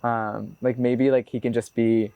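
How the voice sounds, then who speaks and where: A man's voice: mid-range voice, flat delivery, a little slow, creaky, dark tone, clean tone, no room sound. Young man musing casually, dry close sound. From United States. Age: 20s. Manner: casual, loose, full of "like" fillers. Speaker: botanist and climber being profiled. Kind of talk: reflective documentary interview.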